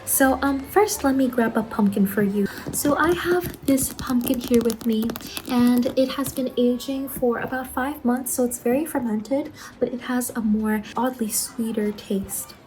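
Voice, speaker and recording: A woman playing an eager, apologetic young saleswoman in an ASMR roleplay, speaking softly, politely and a little hesitantly. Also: on the high side, unhurried, a little hiss or hum, lightly acted, low-quality recording. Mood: softly explaining. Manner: soft-spoken, hesitant, polite customer-service talk. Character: Angeline, eager apologetic young saleswoman at macabre pumpkin stall.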